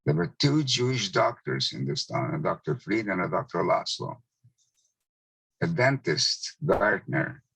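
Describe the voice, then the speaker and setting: Man in his nineties from Czechoslovakia, Hungarian native speaker, deep and husky, giving a slow, reflective account. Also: big pitch swings, fairly bright tone, dry recording, low-quality recording. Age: 90s. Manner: slow, measured recollection with pauses. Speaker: Holocaust survivor speaker. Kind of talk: testimony talk.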